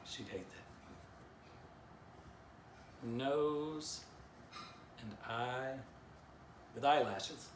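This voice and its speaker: A middle-aged man narrates for children and talks through drawing in an informal teaching style. His voice sits at an average pitch in an echoey room with noticeable noise. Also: very slow, pauses often. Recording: roomy echo, clear background noise.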